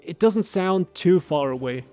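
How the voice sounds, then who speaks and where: A man's voice: high voice, lively intonation, a little slow, dark tone, no room sound, faint music behind. A man from Germany, a native German speaker with a German accent, casually explaining words as a co-host. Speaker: co-host explaining game voice lines. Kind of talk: casual language commentary.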